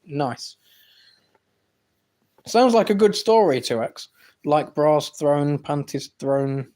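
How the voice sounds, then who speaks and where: A man with an English accent hosts a casual live stream, answering chat with boastful, teasing banter. His voice sits a little higher than most men's, and he talks in loose, rambling bursts. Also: darkish tone. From England. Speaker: livestream host reading chat.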